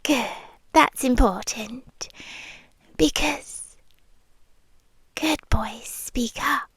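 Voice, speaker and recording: A British woman playing a sweetly menacing, possessive vampire girlfriend in an ASMR roleplay, speaking in coaxing, breathy whispers with pauses, close to the microphone. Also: a little high, fairly bright tone, dry recording, full character voice. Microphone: close microphone, dry.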